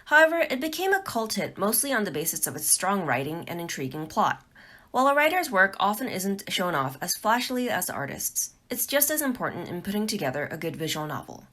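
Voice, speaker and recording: Woman narrator with very lively intonation. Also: medium pitch, a little fast. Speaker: presenter of a tutorial talk. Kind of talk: scripted narration for an instructional video.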